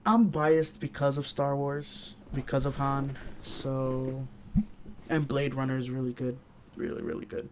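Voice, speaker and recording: A man co-hosting a casual film review chat, speaking haltingly as he thinks aloud. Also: mid-range voice, a little hiss or hum. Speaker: podcast co-host reviewing films.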